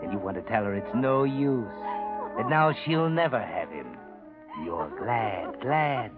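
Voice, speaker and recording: An actor playing an omniscient, taunting storyteller, ominous and accusing, in 1940s radio suspense style. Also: male voice, mid-range voice, very animated, a little slow, phone-quality sound. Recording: thin old radio recording, loud music.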